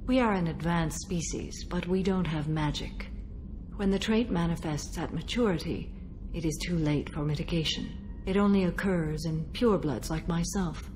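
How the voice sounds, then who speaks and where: An actress playing a stoic, dutiful alien warrior mother in a video game, speaking in a measured, formal, grave way. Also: lowish voice, a little mellow, slight background noise, close to an everyday voice, rough recording. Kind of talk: video game dialogue acting.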